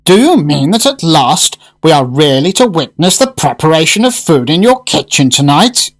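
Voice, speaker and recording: Radio drama gourmet, acted by a man: pompous, eager male regular with very lively, swooping delivery. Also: fairly high pitch, moderate pace. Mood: eager, insistent.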